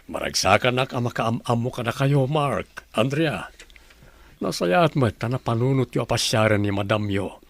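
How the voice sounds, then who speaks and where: Man as courteous gentleman, radio drama, caring, medium-pitched. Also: animated, normal speed, vocal fry. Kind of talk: Ilocano radio drama acting.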